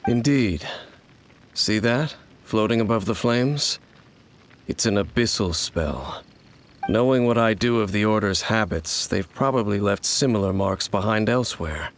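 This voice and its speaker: An actor playing a grave, mysterious wandering swordsman in a video game, speaking in a solemn, measured and knowing way. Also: lowish voice, natural voice. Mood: calm. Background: game music.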